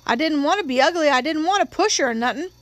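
Woman voicing a frightened, polite witness man, pitched high. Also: expressive, no room sound. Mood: anxious, earnest. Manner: deferential, halting, earnest. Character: frightened, polite young Southern working man on trial.

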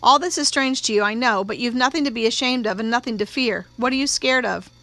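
Audiobook role: woman reader as elderly male judge, gruff yet soothing, plain-spoken, with a forceful edge. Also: a little high, quick pace. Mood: firm, soothing. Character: old male judge, gruff, firm but trying to soothe. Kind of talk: acted audiobook dialogue.

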